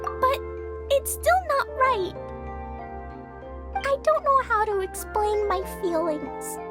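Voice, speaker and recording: A woman voicing a sweet, innocent little girl in a video game cutscene, sincere and simple in manner with a soft childlike voice. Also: very high-pitched, animated, takes it slowly, full character voice. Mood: troubled, sincere. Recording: soft music underneath.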